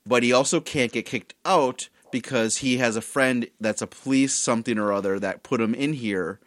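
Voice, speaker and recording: A man's voice: medium pitch, normal speed, no room sound, studio quality. A man co-hosting a casual movie review podcast, a bit tipsy, rambling and stumbling over his words as he jokes. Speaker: podcast co-host.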